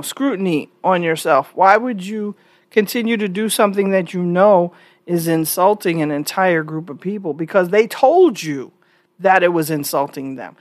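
An American woman from New York speaks as an online commentator in a casual, conversational style. Her voice sits at a medium pitch, and her delivery is emphatic, often framed as pointed questions. The recording is dry, with very little room sound. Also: no room sound. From New York, United States.

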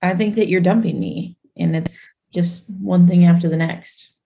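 A young woman co-hosts a casual fitness podcast, her voice sitting a bit low. She talks in loose, friendly runs, looping back with fillers and restarts. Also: fairly even intonation, measured pace, creaky, mellow. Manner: chatty, full of fillers and restarts. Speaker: podcast co-host. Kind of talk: casual chat.

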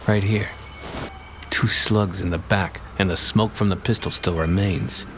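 Low-voiced hard-boiled private eye. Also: male voice, fairly even intonation, measured pace, noisy background. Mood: brisk, commanding. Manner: clipped wisecracking delivery. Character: hard-boiled male 1920s private detective, cocky and bossy. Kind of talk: noir detective radio comedy acting.

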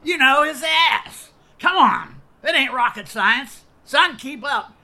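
A man voicing a cantankerous, foul-mouthed elderly male war veteran in an audiobook, gruff and ranting. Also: very high voice, expressive, takes it slowly, pushed and bright, pitched above the performer's own voice, heavily acted. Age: older. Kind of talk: audiobook character voice.

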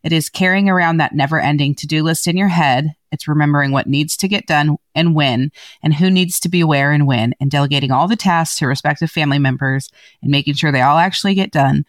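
A woman's voice: low voice, brisk, dry recording, studio quality. A woman hosting a podcast, greeting listeners and introducing a guest in an upbeat, chatty way. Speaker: podcast host.

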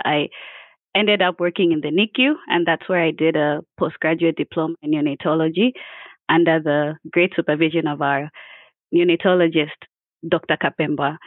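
A woman from Zambia, a doctor guest on a podcast, speaking in an earnest, measured way. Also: low-pitched, dry recording. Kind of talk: conversational podcast answer. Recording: tinny phone-like call line.

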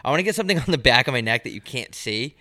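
A man's voice: mid-range voice, expressive, very fast, pushed and bright, no echo, clean studio sound. A young man co-hosting a podcast with crude, joking banter and a slightly congested voice. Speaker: podcast co-host. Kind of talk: casual chat.

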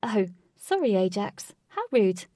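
A friendly young heroine who coaxes and reassures others gently, as a woman puts her on. Her voice is soft and encouraging, in a plain storybook reading. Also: mid-range voice, big pitch swings, unhurried, no echo, clean studio sound. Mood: kind.

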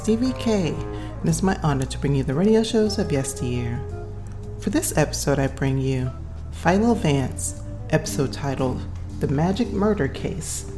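A woman podcast host reading a scripted show introduction. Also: low-pitched. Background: music.

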